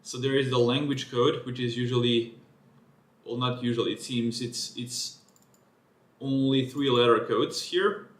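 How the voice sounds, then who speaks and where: A man's voice: mid-range voice, steady tone, measured pace, roomy sound. Man thinking aloud. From Serbia. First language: Serbian. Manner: thinking aloud, casual, self-correcting. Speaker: streamer reading a research paper. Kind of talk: live-streamed paper walkthrough.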